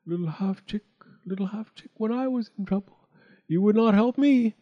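A man voicing a choked brook in a storybook tale, a hushed, pleading water spirit. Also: high-pitched, normal speed, dull audio, voice pushed up, natural voice. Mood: reproachful. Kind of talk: storybook character voice.

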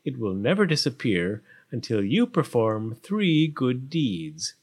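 A man voicing a poor old wise woman in a storybook, with a deliberate, solemn manner. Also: mid-range voice, sing-song, a little mellow, full character voice. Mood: displeased. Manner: pleading, then solemn pronouncements.

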